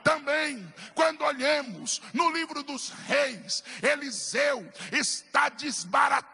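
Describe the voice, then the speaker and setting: A Brazilian man preaching a fervent Pentecostal sermon as pastor, declamatory and emphatic with dramatic repetition, in a raspy, strained voice. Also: very high voice, takes it slowly, sharp tone. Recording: echoey hall, long reverb, music behind.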